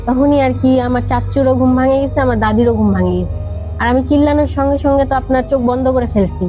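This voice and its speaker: A woman's voice: sits high, quick pace, mellow. A young woman with a Bangladeshi accent recounting a personal ghost experience in a conversational way as a podcast guest. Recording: music playing behind the voice. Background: background music.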